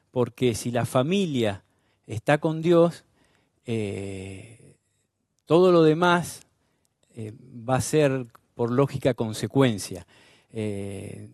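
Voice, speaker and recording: Unhurried man hosting. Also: mid-range voice, a little mellow, dry recording, studio quality. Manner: unhurried, with many pauses and fillers. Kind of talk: devotional interview intro.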